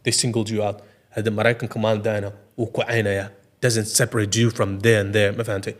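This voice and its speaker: Very fast-talking man in discussion. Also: fairly low pitch, little pitch movement. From Ogaden region. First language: Somali. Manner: repeats filler phrases, switches between Somali and English. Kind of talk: impassioned casual discussion.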